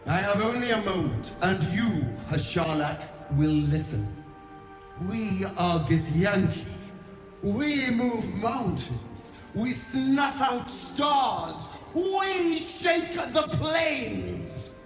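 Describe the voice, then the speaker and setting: A woman's voice: medium pitch, lively intonation, extremely slow. A woman playing a wrathful, imperious undead alien queen in a fantasy game, with grandiose, commanding delivery. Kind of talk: cinematic fantasy game acting. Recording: echoing voice over music.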